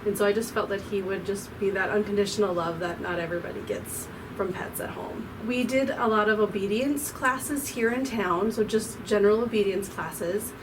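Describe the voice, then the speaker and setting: A middle-aged woman talks about her dog in a loose, rambling way with plenty of fillers. She sits away from the mic. Steady background noise runs under her voice. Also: medium pitch, normal speed, hardly any pauses, distant mic. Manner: warm-hearted, rambling with fillers. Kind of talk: interview answers.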